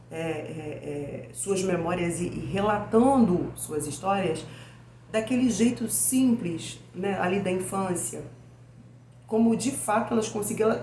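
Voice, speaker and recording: Hoarse Brazilian woman, lowish voice. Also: darkish tone. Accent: Brazilian Portuguese. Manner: chatty, addresses viewers as "gente". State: weak, hoarse voice from a cold. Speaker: book channel host. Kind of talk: casual book review vlog. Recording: echoey room with faint noise.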